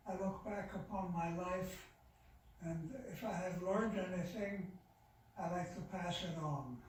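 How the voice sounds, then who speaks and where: Very old man from Austria recounting his life. Slow and halting, heard from far off in a very echoey sanctuary. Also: slight background noise. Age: 90s. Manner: slow, halting, with many restarts and pauses. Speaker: Holocaust survivor speaker. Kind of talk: personal testimony talk. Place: synagogue sanctuary. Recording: low-level, distant, very roomy recording.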